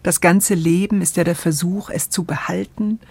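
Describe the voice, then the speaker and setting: A woman's voice: on the low side, fairly even intonation, normal speed, a little mellow, husky, no echo. A woman co-hosting a radio introduction in a conversational style. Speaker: podcast co-host.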